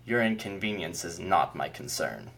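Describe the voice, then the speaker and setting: A man voicing an ancient, commanding male keeper of mysteries in an audiobook, speaking in a grave, measured and haughty way. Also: deep voice, lively intonation, gravelly, fairly bright tone, slightly rough, voice pushed down, full character voice, rough recording. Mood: stern. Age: older. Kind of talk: audiobook character voice.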